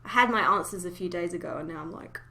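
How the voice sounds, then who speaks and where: A woman's voice: fairly low pitch, fast talker, pushed and bright. A woman chatting informally as the host of a casual fan podcast.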